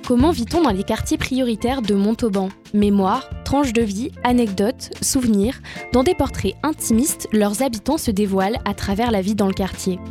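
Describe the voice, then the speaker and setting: A woman's voice: on the high side, normal speed, darkish tone. A woman reading a scripted documentary narration. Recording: faint music underneath.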